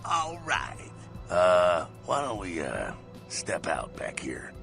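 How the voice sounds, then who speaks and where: An actor playing a brash, streetwise vampire mentor in a video game, gravelly, slangy and swaggering, with a rough jovial streak. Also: male voice, sits low, huge pitch swings, slow pace, a bit bright, exaggerated voice. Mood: gruff, coaxing. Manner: slangy, folksy, swaggering, swears. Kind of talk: video game character acting. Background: music.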